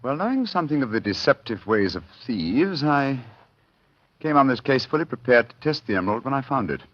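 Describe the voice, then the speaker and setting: An actor playing a composed, methodical gentleman detective in an old radio mystery, speaking formally and with deliberate authority. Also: medium pitch, very animated. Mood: calm, reasoning. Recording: dull, muffled old radio recording.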